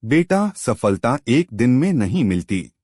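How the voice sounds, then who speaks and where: A man's voice: mid-range voice, very animated, measured pace, a little mellow, no echo, clean studio sound. A man playing a kindly, patient old village potter who gives wise encouragement in a moral story. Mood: amused, wise.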